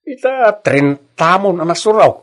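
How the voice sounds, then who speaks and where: A man's voice: high-pitched, lively intonation, unhurried, a bit bright. An actor with an Ilocano accent playing a chatty, teasing father and friend in a radio drama. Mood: fatherly.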